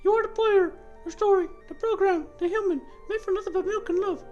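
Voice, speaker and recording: A woman's voice: very high-pitched, a little fast, a little mellow, highs cut off, soft background music, pitched above the performer's own voice. A woman voicing a fervent, dreamy godlike being in a dramatic reading of game dialogue, with emphatic delivery. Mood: fervent, exclaiming.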